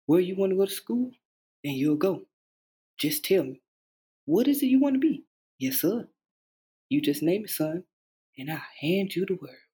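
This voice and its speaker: A man's voice: high-pitched, big pitch swings, moderate pace, long pauses, dark tone, husky, heavily acted. A man playing a hopeful working-class father who dreams aloud to his young son in an earnest, folksy stage monologue. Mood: tender, hopeful. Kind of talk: dramatic stage monologue reading.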